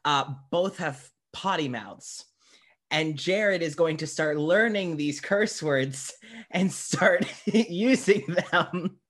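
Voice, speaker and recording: Unhurried man hosting a casual podcast interview. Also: fairly high pitch, fairly bright tone. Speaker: podcast host interviewing a guest.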